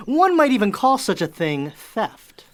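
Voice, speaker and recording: An actress playing a wry, smooth-talking thief and mercenary adventurer in a fantasy audio drama, glib and sardonic. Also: female voice, a little high, big pitch swings, measured pace. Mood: wry, bargaining. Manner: glib, sardonic, formal wording.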